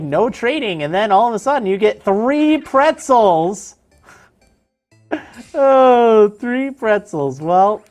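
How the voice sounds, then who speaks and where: A woman streaming a video game in casual chat, answering viewers as she plays, her voice strained and tiring. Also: fairly high pitch. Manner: chatty, answers viewer chat while playing.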